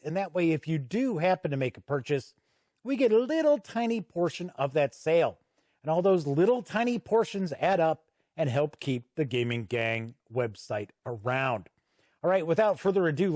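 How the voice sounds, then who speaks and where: A man with a slightly high voice in a dry-sounding studio, presenting a review to camera. He talks in a chatty, folksy way, like a regular channel host going through a product off the cuff. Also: darkish tone, dry recording. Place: indoor home studio.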